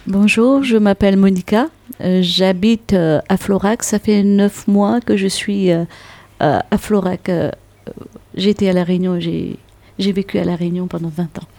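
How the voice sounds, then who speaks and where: A woman's voice: medium pitch, fairly even intonation, moderate pace, a little mellow, no room sound. A woman gives a hesitant self-introduction in French, full of fillers. The sound is dry and close, with no room around it.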